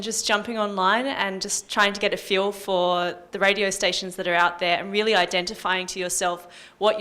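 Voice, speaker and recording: A woman giving practical, measured advice in casual panel talk. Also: mid-range voice, sharp tone. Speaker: community radio panelist.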